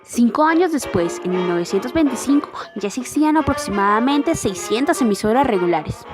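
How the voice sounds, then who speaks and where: A woman's voice: fairly high pitch, lively intonation, brisk. A woman reading a scripted documentary narration in a formal manner. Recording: loud music behind the voice. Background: music.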